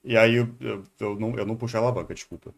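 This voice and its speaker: A man's voice: lowish voice, fairly even intonation, rapid-fire, gravelly. A man with a Brazilian Portuguese accent giving casual live-stream commentary, hesitating and repeating words as he thinks aloud. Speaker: streamer reacting to a video.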